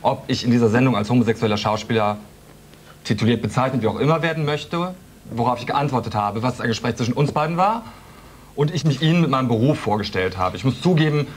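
Young male panelist, fast and indignant, correcting others; studio debate tape. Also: mid-range voice, a little hiss or hum. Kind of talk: heated TV debate. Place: TV studio audience seating.

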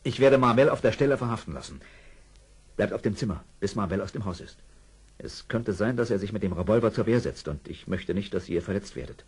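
Authoritative policeman, calm, low, very husky, animated intonation, radio play. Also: a little fast. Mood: reassuring, firm. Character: calm, authoritative undercover policeman posing as professor. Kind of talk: German children's radio play acting.

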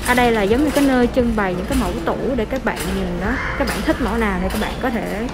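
A young woman gives a casual, chatty tour, her slightly high voice upbeat and full of small hesitations. She talks into a handheld phone inside a large store while loud music plays behind her. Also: fast. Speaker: vlogger. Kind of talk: casual walking-tour narration. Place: large indoor furniture store.

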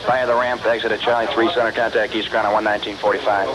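A man's voice: fairly low pitch, level tone, quick pace. A man working as an air traffic ground controller, giving clipped, procedural taxi instructions over aviation radio.

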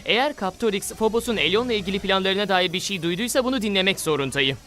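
A man's voice: sits high, lively intonation, fast talker, background noise. A man playing a determined adventurer in a cartoon dub, urgent and resolute. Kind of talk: animated series dub acting. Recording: loud music behind the voice.

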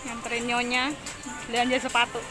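A woman's voice: fairly high pitch, completely flat delivery, brisk, music in the background, poor audio. A young woman chatting playfully to her phone camera as she vlogs her day on trains and in malls. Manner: chatty, playful, often laughing. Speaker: vlogger. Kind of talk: casual vlog chat. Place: moving between trains, stations and malls. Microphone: handheld phone camera. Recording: busy crowd and loud transit noise. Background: crowds, trains and street noise.

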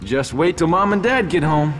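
An actor playing a brash, casual tough hero in a video game, with a flippant manner. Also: male voice, a little high, expressive, normal speed. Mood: wry. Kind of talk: video game cutscene acting. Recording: loud music, long reverb.

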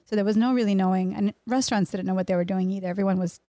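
A woman's voice: mid-range voice, fairly even intonation, very fast, warm tone, no room sound. A middle-aged woman from Miami, born in Mexico, talking casually as a podcast guest, close on a studio microphone. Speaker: podcast guest, pastry chef and bakery owner. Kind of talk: casual conversational interview. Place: small indoor podcast room. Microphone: close studio mic on boom arm, headphones.

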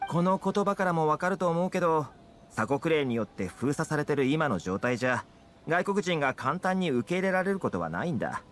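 A man's voice: a little high, lively intonation, a little fast, a bit bright, faint music behind. A man voicing a friendly, easygoing young guide in an anime game, explaining things in a casual, affable way. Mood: explanatory. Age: young adult.

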